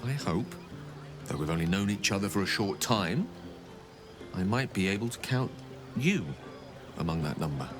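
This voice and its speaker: A learned wizard speaks in a deep register with very animated intonation over music. He is wordy and bookish, a wistful video game companion who pokes dry fun at himself. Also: moderate pace, pauses often. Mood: wry, self-deprecating. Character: erudite, wistful wizard man, wry and self-deprecating. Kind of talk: video game character dialogue. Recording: music behind the voice.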